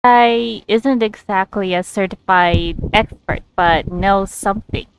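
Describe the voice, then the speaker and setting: A woman doing casual let's play commentary as a game streamer, in a halting, giggly way. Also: medium pitch, smooth, dry recording, low-quality recording.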